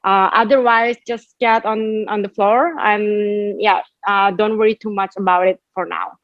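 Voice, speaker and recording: A woman teaches yoga to an online class. She is encouraging and reassuring, with a mid-pitched voice. The sound is a slightly muffled, dry video call. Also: steady tone, unhurried, no room sound. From Indonesia. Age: 20s. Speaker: yoga instructor. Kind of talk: informal instruction to an online class. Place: large empty sports hall. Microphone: video call, laptop a few feet away.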